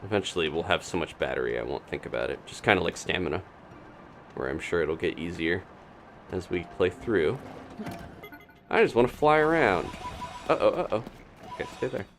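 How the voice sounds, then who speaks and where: A man's voice: sits low, lively intonation, normal speed, pauses often, a bit bright, soft background music. A man giving casual live gameplay commentary, chatting and thinking aloud in unfinished asides. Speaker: video game streamer.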